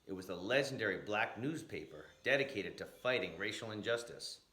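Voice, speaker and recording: A man's voice: on the low side, lively intonation, a little fast, bright, forceful tone. A man reading a picture book aloud in a dramatic, emphatic way, drawing out key words. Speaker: storyteller, blues educator. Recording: echoey room.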